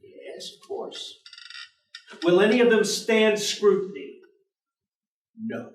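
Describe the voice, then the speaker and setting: A man's voice: high voice, slow. An actor playing a bleak, world-weary male professor in a staged dramatic reading, formal, articulate and fatalistic. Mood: bleak, resigned. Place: theatre stage. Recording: echoey theatre, distant microphone.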